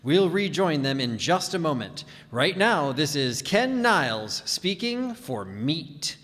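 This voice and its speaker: An actor playing a folksy 1940s radio announcer, with genial, polished salesmanship. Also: male voice, fairly high pitch, big pitch swings, slow pace, a bit bright, in a large hall, heavily acted.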